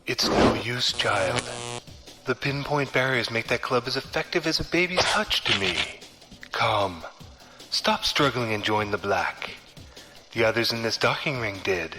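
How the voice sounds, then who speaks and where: An actor playing a fanatical male cultist in battle armor, speaking calmly and condescendingly. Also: on the low side, natural voice. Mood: menacing calm. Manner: calm, slow, condescending menace. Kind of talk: audio drama acting. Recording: music score underneath.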